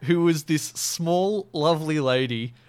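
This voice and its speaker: Wry Australian man, slightly higher-pitched voice. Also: measured pace, smooth. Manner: wry, joking, rambling. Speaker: podcast co-host. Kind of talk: casual comedic chat and storytelling.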